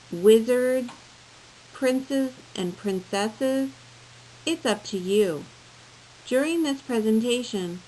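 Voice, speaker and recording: Female educational narrator for children: unhurried delivery, strongly varied intonation, plain explanatory teacher style. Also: mid-range voice, low-quality recording. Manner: simple, explanatory phrasing addressed to young learners. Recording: clear background hiss.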